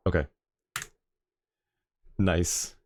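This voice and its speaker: A man's voice: drawn-out words, darkish tone, no echo. A man livestreaming a game in a casual, chatty way, thinking aloud and talking to his chat.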